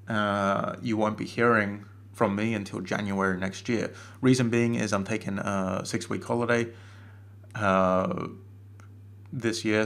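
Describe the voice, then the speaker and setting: Male trading analyst, low gravelly voice, strained by a cold, loose casual delivery with many ums and restarts. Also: fairly bright tone. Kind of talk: screen-recorded chart analysis webinar.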